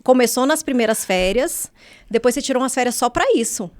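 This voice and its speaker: A woman's voice: fairly high pitch, lively intonation, fairly quick. A woman hosting a casual podcast interview, playful and teasing, laughing often. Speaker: podcast host.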